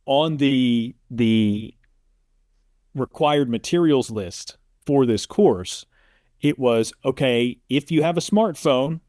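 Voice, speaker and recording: A man's voice: medium pitch, darkish tone, no echo, studio quality. A middle-aged American man, an interview guest, talking casually and reflectively in a storytelling way. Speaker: interview guest, sports play-by-play announcer.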